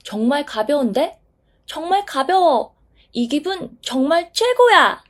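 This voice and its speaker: A woman's voice: high-pitched, big pitch swings, slow, voice pushed up, heavily acted. A woman voicing tiny childlike dust specks in a storybook reading, squealing with delight. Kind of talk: storybook voice acting.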